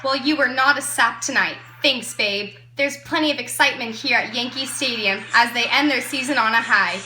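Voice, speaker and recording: A woman playing a brash, slangy radio reporter and pitchwoman in an amateur 1920s broadcast. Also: moderate pace, natural voice. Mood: excited. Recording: roomy echo, music behind.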